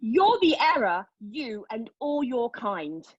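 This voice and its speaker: A husky-voiced, anguished woman, pregnant and bitterly sardonic, acted by a woman at a play reading. She speaks her monologue with raw, deliberate intensity at a middle pitch. The recording is dry and slightly dull. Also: big pitch swings, dry recording, heavily acted. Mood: angry, defiant. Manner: intense, deliberate, emotionally exposed delivery. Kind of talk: dramatic monologue at a table read.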